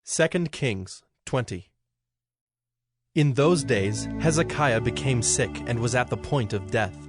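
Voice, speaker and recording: Male narrator for audio scripture drama: medium pitch, formal scripted delivery, prominent background music. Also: animated, takes it slowly, slightly rough, no echo.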